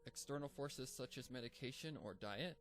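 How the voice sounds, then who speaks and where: A man reading a fanfic aloud, voicing an earnest, bookish young female pony in a gentle, lightly raised voice. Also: medium pitch, normal speed, soft background music, close to an everyday voice. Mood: studious.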